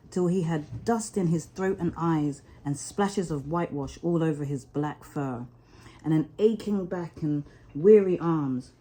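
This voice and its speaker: A woman storyteller reading a children's story expressively, with deliberate, dramatic phrasing. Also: low voice, warm tone.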